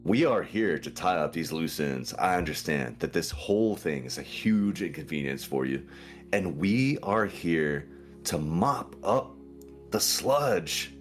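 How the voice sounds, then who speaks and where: A man playing a smarmy, overfriendly FBI agent in a tabletop game, speaking in an ingratiating, deliberate way. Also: low voice, lively intonation, faint music behind. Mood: smarmy, persuasive. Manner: ingratiating, overly polite, deliberate.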